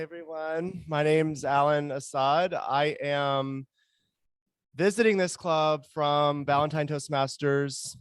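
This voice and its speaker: A man's voice: fairly high pitch, clear, resonant tone, no echo, studio quality. A man visiting from another club, introducing himself in an upbeat, articulate way. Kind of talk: brief self-introduction.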